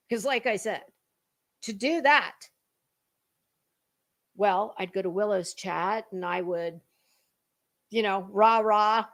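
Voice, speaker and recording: A woman talking as an online commentator in a casual, dramatic monologue, emphatic and halting, with deliberate pauses and repeated words. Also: mid-range voice.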